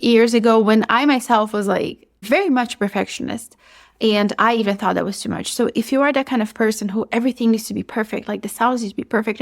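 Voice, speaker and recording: A woman in her thirties with a Brazilian accent, hosting a solo podcast and giving chatty, upbeat advice full of "like"s. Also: on the high side. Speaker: podcast host.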